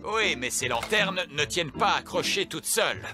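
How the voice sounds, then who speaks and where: A man's voice: fairly high pitch, expressive, normal speed, over music, lightly acted. An actor playing a hardworking, earnest male elf father in a French cartoon, speaking in an emphatic, busy manner. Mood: brisk, indignant. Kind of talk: French animated series dub acting.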